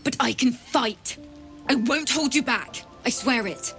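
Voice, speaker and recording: A woman's voice: a little high, a little slow, a bit bright. An actress playing a timid, earnest young female bard in a fantasy video game, hesitant and pleading, with music behind her.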